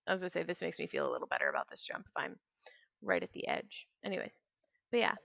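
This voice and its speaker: Very creaky-voiced young woman streamer, chatting casually. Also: lowish voice, huge pitch swings, fast, no room sound, clean studio sound. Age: 20s. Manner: rambling, trailing off into quiet mutters to self. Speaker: game streamer. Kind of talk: casual chat while playing a video game.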